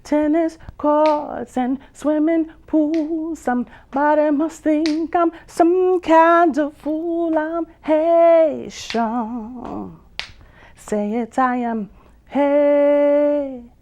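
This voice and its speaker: A woman poet and vocalist performing spoken-word poetry with drawn-out phrases, pauses and hums, some lines half sung. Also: high-pitched, mellow. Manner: slow, drawn-out delivery with long pauses and hums. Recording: dull lo-fi sound.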